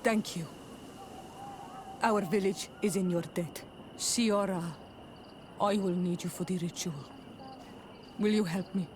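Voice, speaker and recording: A woman's voice: lowish voice, takes it slowly, pauses often, noisy background. A woman playing a proud, grieving native village leader in a video game, solemn and resolute. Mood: solemn, grateful. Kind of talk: video game cutscene acting.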